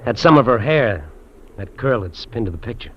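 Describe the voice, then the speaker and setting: An actor playing a lonely, lovestruck fugitive trapper, earnest and confiding, in 1950s radio drama style. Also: male voice, mid-range voice, lively intonation, normal speed, husky. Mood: wistful, tender. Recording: dull, noisy old radio recording.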